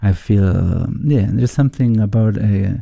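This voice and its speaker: Gravelly Argentine-accented man, dark voice. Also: lowish voice, no room sound, clean studio sound. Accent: Argentine Spanish. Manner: thoughtful, halting, searching for words. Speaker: musician guest. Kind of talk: casual interview conversation.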